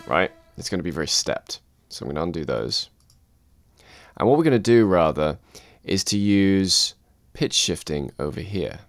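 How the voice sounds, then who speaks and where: A man calmly walking through a music production software tutorial, step by step. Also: sits low, normal speed. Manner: calm step-by-step explaining. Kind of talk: screen-recorded software tutorial.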